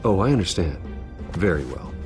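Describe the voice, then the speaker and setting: An actor playing a brooding, determined billionaire vigilante in a video game drama, speaking in a terse, controlled way. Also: male voice, deep voice, very animated, moderate pace. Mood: stern. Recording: music behind the voice.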